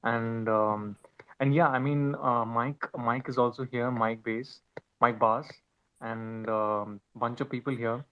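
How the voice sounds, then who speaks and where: A man's voice: fairly even intonation, smooth. Hesitant man on dull online audio. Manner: hesitant, halting. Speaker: audience speaker asking a question. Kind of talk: casual spoken question on a live online panel. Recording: dull low-fidelity online call audio.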